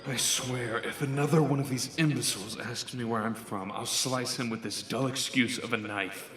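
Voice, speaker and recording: A man's voice: mid-range voice, fairly even intonation, normal speed, fairly bright tone, close to an everyday voice. A man acting an arrogant, ambitious doctor's scornful inner monologue in a radio drama. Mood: seething, contemptuous. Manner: haughty, scornful muttering to himself. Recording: roomy echo, music underneath.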